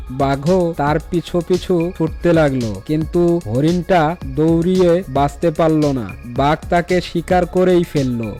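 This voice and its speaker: Man, cartoon story narrator, scripted delivery, slightly high pitch, faint background music. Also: a little mellow. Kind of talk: scripted fairy-tale narration.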